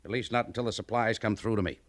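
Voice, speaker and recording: An American actor playing a rigid, distrustful, by-the-book cavalry major in a western radio drama, with clipped military authority. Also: male voice, lowish voice, fairly even intonation, quick pace, fairly bright tone, natural voice. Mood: stern, commanding.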